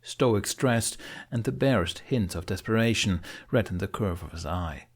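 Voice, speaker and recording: A man's voice: fairly low pitch, normal speed, slightly rough. A man narrating an audiobook as dramatic, scripted storytelling.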